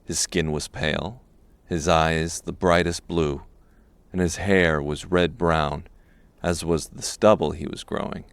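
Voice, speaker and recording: Very deep male audiobook narrator. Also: steady tone, unhurried, creaky, clean tone, no echo. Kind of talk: scripted dark fantasy narration.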